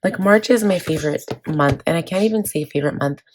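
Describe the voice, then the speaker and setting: A woman in her thirties, hoarse from laryngitis, chatting casually about her planner with many fillers. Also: sits low, quick pace. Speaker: planning vlogger. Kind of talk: casual chat walking through a planner.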